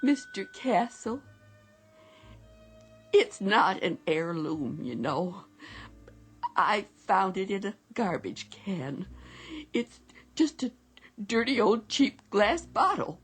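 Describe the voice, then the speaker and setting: An actress playing a timid, needy, apologetic woman with a halting, hesitant manner in a radio drama. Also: medium pitch, big pitch swings, breathy edge, soft background music, exaggerated voice. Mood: grateful, tearful. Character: timid, needy, apologetic poor female customer.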